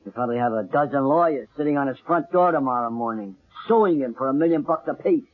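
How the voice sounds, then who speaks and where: An actor playing a blunt, streetwise talent agent, a raspy man talking slang in an old-time radio drama. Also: medium pitch, expressive, quick pace, close to an everyday voice. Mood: exasperated, scolding. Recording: muffled old radio broadcast.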